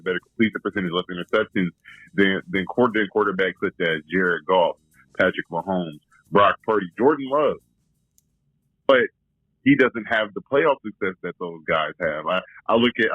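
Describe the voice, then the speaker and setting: Deep-voiced man on a tinny phone line. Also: husky, dry recording. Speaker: voicemail caller. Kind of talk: casual sports opinion.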